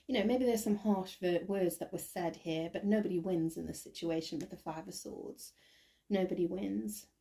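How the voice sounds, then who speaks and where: A woman with a croaky voice doing a casual tarot reading to camera, thinking aloud with many ums and restarts. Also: on the low side, moderate pace, dark tone. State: croaky, recovering from bronchitis. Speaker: tarot reader.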